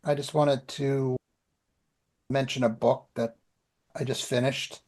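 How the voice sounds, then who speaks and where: A middle-aged man with an average-pitched voice co-hosts a podcast. He talks informally and plainly, as if swapping everyday stories. The delivery is casual and conversational. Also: little pitch movement, takes it slowly, a little mellow. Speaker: podcast co-host and writer. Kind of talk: casual podcast chat about writing.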